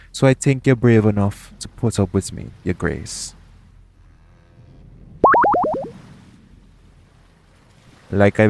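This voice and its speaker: Casting: male actor as a roguish yet protective pirate captain, low-pitched, colloquial sea-dog speech, gently reassuring, for intimate audio roleplay. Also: vocal fry. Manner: colloquial seafarer's dialect, speaking tenderly to one listener.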